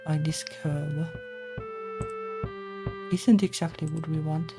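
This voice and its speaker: A woman's voice: low-pitched, steady tone, slow. A woman livestreaming as a game developer, casually thinking aloud to herself in short, hesitant fragments as she works. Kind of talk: casual thinking aloud while working on stream. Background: music playing behind the voice.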